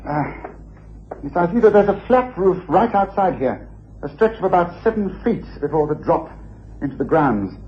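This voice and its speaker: An actor playing a composed, methodical British detective in a radio drama, speaking with brisk authority. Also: male voice, a little high, sing-song, breathy edge, heavily acted. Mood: calm, methodical. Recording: muffled, hissy old radio recording.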